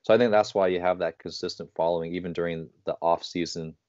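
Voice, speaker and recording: Low-voiced man in his thirties. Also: no echo, muffled recording. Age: 30s. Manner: animated storytelling, quick bursts. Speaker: podcast host. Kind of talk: casual interview chat.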